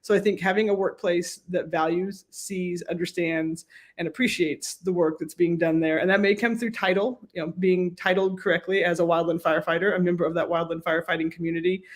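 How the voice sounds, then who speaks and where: A woman with an American accent, a professor and researcher, giving measured, explanatory interview answers with emphatic lists. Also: lowish voice, steady tone.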